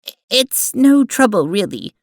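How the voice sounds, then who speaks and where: Woman playing a prim, lively-pitched alien seamstress. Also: no room sound. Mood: polite, eager. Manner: formal, precise, deliberate diction. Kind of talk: audio drama acting and narration.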